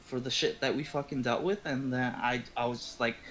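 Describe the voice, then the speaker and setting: A man's voice: mid-range voice, fairly even intonation, a little fast, fairly bright tone, low-quality recording. A man chatting casually, swearing constantly, emphatic and repeating himself. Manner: foul-mouthed, emphatic, repetitive. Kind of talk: casual chat.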